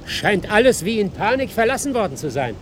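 Animated, inquisitive male investigator. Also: high-pitched, fairly quick, background noise. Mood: alarmed. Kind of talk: German radio play acting.

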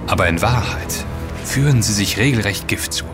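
An actor plays a serious, inquisitive male investigator in an audio drama. His voice is on the low side and he explains things articulately, with faint music underneath. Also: animated, moderate pace, close to an everyday voice. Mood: serious, explanatory. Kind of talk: German audio drama dialogue.

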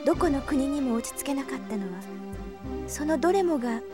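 An actress playing a gentle young noblewoman, earnest and devoted, speaking softly and politely in an anime audio drama. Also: high-pitched, expressive, a little fast, over music. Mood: earnest, pleading. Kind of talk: Japanese anime-style audio drama acting.

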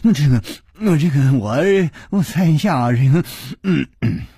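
A man's voice: mid-range voice, expressive, moderate pace, dark tone, husky, no echo, clean studio sound. A man voicing a frightened young Shaolin disciple who stammers nervously.

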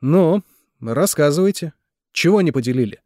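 A man voicing a stern but careful middle-aged father questioning his son, in a husky voice. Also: a little high, lively intonation, moderate pace, a little mellow, no room sound, clean studio sound, pitched above the performer's own voice, close to an everyday voice. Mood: firm. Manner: firm, coaxing questions.

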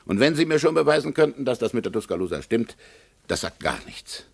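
Radio drama consul: condescending bureaucrat, husky, with big swings in pitch. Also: male voice, very animated, brisk, heavily acted. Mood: officious, probing. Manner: condescending, officious. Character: haughty, evasive American consul, condescending bureaucrat. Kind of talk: German radio play acting.

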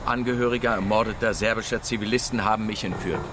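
An actor playing a captured German military pilot who answers in clipped, insistent, defensive lines. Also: male voice, on the low side, steady tone, quick pace, natural voice. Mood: flat recounting. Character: captive German male air force pilot, stubborn and defiant. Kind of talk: radio drama acting.

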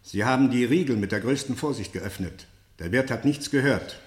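An actor playing a stern, sceptical interrogator in a radio play, formal and insistent, with deliberate, pointed questioning. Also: male voice, mid-range voice, reverberant, natural voice. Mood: measured, probing. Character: stern, probing male interrogator, sceptical and relentless. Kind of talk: German radio play acting, interrogation drama.

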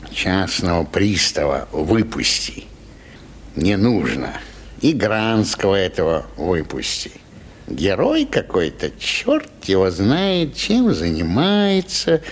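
Slow, lowish, sly official. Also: male voice, exaggerated voice. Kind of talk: theatrical radio play acting.

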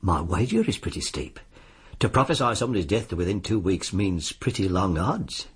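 An actor playing a suave, sly disbarred lawyer in a radio drama, with a British accent and an insinuating, politely formal way of talking. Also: male voice, low voice, very animated, normal speed, breathy edge, exaggerated voice. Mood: businesslike, sly. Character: suave, sly disbarred lawyer arranging sinister bets on deaths.

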